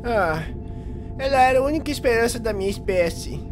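A woman's voice: on the high side, animated, a little fast, natural voice. A Brazilian woman voicing an anxious, whiny little koala alien crewmate in an adult cartoon dub, fretful and pleading. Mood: forlorn. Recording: loud music behind the voice.

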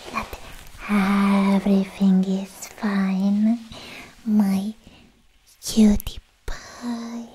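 A young woman playing a lovestruck, possessive alien girlfriend in a slow, cooing ASMR roleplay, mostly in breathy whispers close to the microphone. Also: fairly high pitch, mellow, heavily acted, low-quality recording. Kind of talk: soft-spoken ASMR roleplay.

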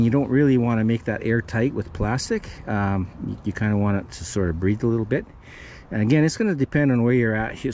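A man hosting a casual hands-on repair tutorial, plain-spoken and folksy, with a halting delivery. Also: fairly low pitch, a little mellow, slight background noise. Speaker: host of DIY renovation channel. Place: outdoors under a raised mobile home.

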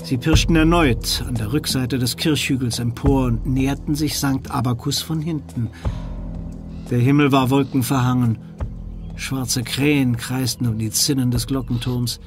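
A man's voice: mid-range voice, fairly even intonation, unhurried, creaky. A man narrating a suspenseful children's audio drama with dramatic scripted storytelling. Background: music.

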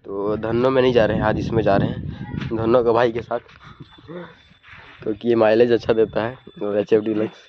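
A man's voice: lowish voice, level tone, fairly quick. A young man chatting casually to viewers as an outdoor motorbike vlogger, on a phone at arm's length. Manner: informal, addresses viewers as guys. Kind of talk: casual motovlog chat to viewers. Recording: muffled, loud wind and vehicle noise.

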